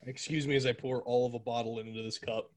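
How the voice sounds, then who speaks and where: A man's voice: mid-range voice, steady tone, quick pace, darkish tone. A man co-hosting a casual podcast, joking about himself and talking through a home interface mic. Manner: joking, self-deprecating. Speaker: podcast co-host. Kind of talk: casual chat. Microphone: home audio interface mic.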